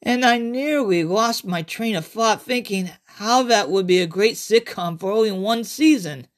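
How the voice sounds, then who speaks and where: High-pitched, animated man narrating horror. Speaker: author reading own short story. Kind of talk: dramatic first-person horror story narration.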